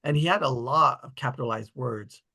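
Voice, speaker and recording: A man's voice: mid-range voice, normal speed, gravelly, pushed and bright, breathy edge. A man in casual conversation about films and scripts at a table read.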